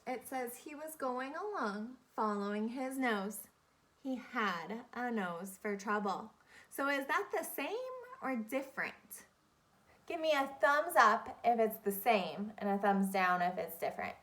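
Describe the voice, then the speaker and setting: A woman speaking as an elementary teacher, leading an interactive read-aloud for young children in a deliberate, instructive way, repeating key phrases and questions. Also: a little high, animated, fairly bright tone.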